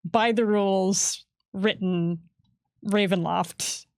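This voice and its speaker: A woman's voice: mid-range voice, fairly even intonation, slow, darkish tone, no room sound. A woman who writes for tabletop games, chatting casually on a livestream, with frequent laughs and lots of "like". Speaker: game writer co-host.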